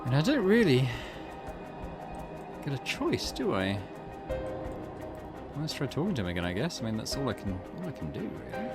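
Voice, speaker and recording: A man's voice: mid-range voice, huge pitch swings, moderate pace, long pauses, music in the background. A man giving casual let's play commentary, musing and thinking aloud in questions. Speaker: gaming video host.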